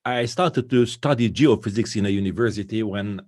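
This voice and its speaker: A man's voice: fairly low pitch, a little mellow, no room sound, studio quality. Older man with a strong Hungarian accent. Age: 70s. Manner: careful, halting English with pauses. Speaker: guest folk musician. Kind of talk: interview over video call. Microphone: video call.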